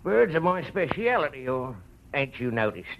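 A muffled old radio recording with faint noise carries an American tough guy. He is a sardonic, menacing landlord with slangy, sneering talk. His pitch swings widely as he needles people. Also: very animated, moderate pace, vocal fry. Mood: nosy, sardonic. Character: menacing, sardonic male rooming-house landlord, bird fancier. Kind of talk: 1940s-50s radio noir acting.

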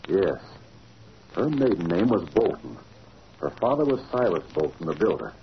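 A man's voice: sits low, very animated, moderate pace. A man playing a domineering crooked businessman in a 1940s radio drama, commanding and contemptuous. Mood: grim realization. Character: domineering crooked businessman, a cold scheming boss. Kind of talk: 1940s radio villain acting. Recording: muffled, noisy old radio recording.

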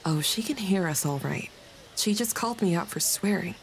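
A woman's voice: on the low side, animated, moderate pace, a little hiss or hum, rough recording. A woman playing a hardcore, no-nonsense, big-hearted single mother in a comedy audio drama, blunt and protective. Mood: indignant.

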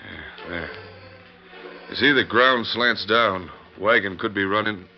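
An actor playing a gruff, suspicious ranch foreman with blustering cowboy talk in an old radio western. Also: on the low side, slow, faint music behind. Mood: grudging, wary. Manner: blustering, folksy cowboy speech. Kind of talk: 1940s western radio acting. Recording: dull vintage radio recording with noise.

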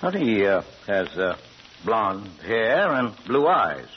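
An old, muffled radio play features an urbane, scholarly doctor with a low voice and lively intonation. He speaks in precise, slightly pompous sentences, pausing politely as he calmly explains himself. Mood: bluffing, inquisitive. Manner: precise educated diction, hesitant, politely pompous. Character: urbane scholarly doctor turned amateur burglar, curious and unflappable. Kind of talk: 1940s radio drama acting. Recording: muffled old radio broadcast, faint noise.

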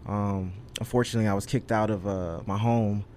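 Young dude, kinda low voice, rambly and earnest, lotta ums, bit of hiss behind. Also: male voice, steady tone, fairly quick, a little mellow. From California, USA. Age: young adult. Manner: earnest, rambling, many ums and you knows. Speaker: rapper, guest. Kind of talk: casual interview chat.

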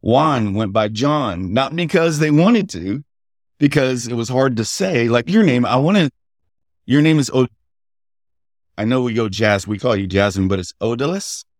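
A middle-aged man, a podcast guest, telling family stories in a halting, conversational way without a regional accent. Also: mid-range voice, expressive, no echo, studio quality. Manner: halting, with many fillers and restarts. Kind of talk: casual interview storytelling.

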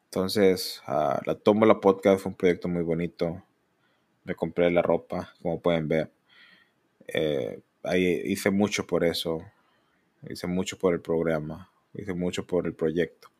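A Mexican man in his thirties speaks alone into a podcast mic in a fairly low voice. He talks candidly and rambles. Now and then he pitches up to mock other people. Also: fairly even intonation, a little slow, darkish tone. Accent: Mexican Spanish. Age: 30s. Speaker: podcast host. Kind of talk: solo podcast monologue.

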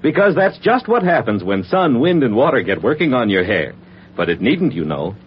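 A man announcing a 1940s radio commercial, addressing the listener in a chatty, direct sales pitch. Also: big pitch swings, normal speed, slight background noise. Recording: muffled, humming old radio recording.

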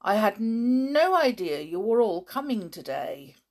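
A woman's voice: mid-range voice, sing-song, unhurried, pitched above the performer's own voice. A woman voicing an irritable, absent-minded middle-aged male scientist who is vague one moment and furious the next. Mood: peevish. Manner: peevish and vague, bursting into fury.

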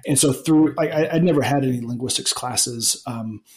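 A man in his forties from Alabama, the usual host, answering interview questions in a relaxed, rambling way with many restarts. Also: medium pitch, moderate pace, crowd noise. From Alabama, United States. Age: 40s. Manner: rambling, many ums and restarts, laughs easily. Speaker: podcast host being interviewed. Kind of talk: casual interview chat.

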